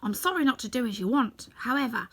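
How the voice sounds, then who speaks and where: A woman's voice: a little high, animated, fast talker. A woman voicing a polite but stubborn living scarecrow in a storybook. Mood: politely firm. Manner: polite, insistent.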